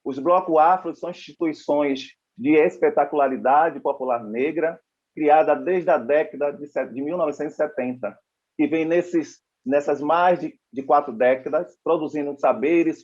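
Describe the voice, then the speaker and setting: A man's voice: fairly high pitch, a little mellow. Man moderating a seminar, dull sound. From Salvador, Bahia, Brazil. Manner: measured, with frequent pauses and restarts. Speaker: panel moderator. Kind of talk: formal seminar introduction.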